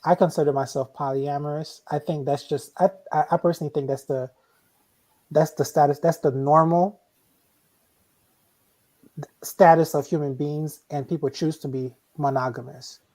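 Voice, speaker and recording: A man's voice: fairly high pitch, fairly even intonation, moderate pace, long pauses, darkish tone, poor audio. A man born in Haiti and raised in Miami, a guest speaking steadily, sometimes repeating words as he thinks. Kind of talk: casual panel chat.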